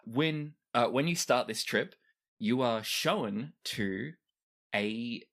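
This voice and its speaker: A man's voice: mid-range voice, slow pace, gravelly, a bit bright. Man narrating a tabletop game. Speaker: game master.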